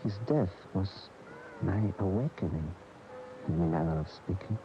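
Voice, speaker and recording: Gravelly, troubled bullfighter. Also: male voice, low voice, expressive. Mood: reflective, intense. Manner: halting, brooding, dramatic. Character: troubled, world-weary famous bullfighter, a drinker. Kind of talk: radio drama acting. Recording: dull, noisy AM radio tape.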